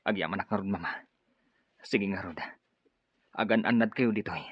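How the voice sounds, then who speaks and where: A man playing a resolute, devoted son set on vengeance in a radio drama, earnest, with a husky voice. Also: on the low side, moderate pace, no echo. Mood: tender, earnest. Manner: earnest, determined. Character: resolute avenging son, devoted to his mother. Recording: dull, dry radio drama with music. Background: music.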